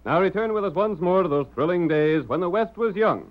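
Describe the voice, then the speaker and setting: A man's voice: sits high, animated, fairly quick. An American man narrating a 1930s radio serial in a dramatic, declamatory announcer's style. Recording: dull lo-fi 1930s radio recording.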